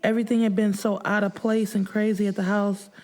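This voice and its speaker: A woman's voice: mid-range voice, little pitch movement, brisk, a little mellow. A woman narrating first-person fiction as an audiobook, with emotive, sorrowful delivery.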